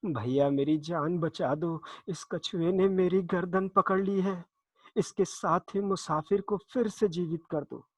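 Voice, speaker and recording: A man's voice: high-pitched, measured pace, no room sound, pitched above the performer's own voice. A man voicing a captured crow in a children's folktale, cawing and pleading frantically for its life. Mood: frantic pleading.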